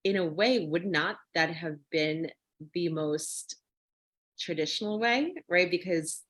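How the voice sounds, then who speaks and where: A woman's voice: fairly low pitch, slow pace, a bit bright. A woman hosting a casual interview, working her way tentatively and in a roundabout way toward each question. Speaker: interviewer, host.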